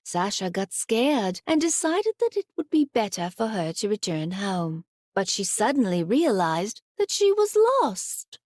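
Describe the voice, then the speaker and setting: A woman narrating a scripted children's fairy tale in an expressive storytelling style. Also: mid-range voice, a little slow, few pauses, no room sound, studio quality.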